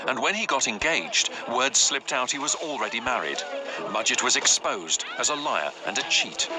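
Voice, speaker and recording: Prominent music runs under a male narrator with a mid-pitched voice. He delivers dramatic, scripted true-crime documentary narration. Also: animated, normal speed, poor audio.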